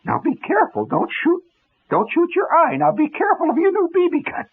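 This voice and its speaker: A man's voice: very high-pitched, huge pitch swings, fairly quick, voice pushed up, heavily acted. A man voicing a fretful, fussing American mother of a small boy in a radio story. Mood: fretful warning. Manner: fretful, repeating her warnings. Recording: muffled old radio broadcast.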